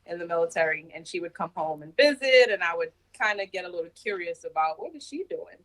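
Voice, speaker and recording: A woman from Jamaica, raised in Brooklyn, talking as a podcast guest in an unhurried, reflective way. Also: fairly low pitch, animated, a bit bright, poor audio. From Jamaica, raised in Brooklyn, New York. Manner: unhurried, reflective storytelling with light laughs. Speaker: podcast guest, Navy senior chief. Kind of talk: casual interview conversation. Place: home, remote call from abroad.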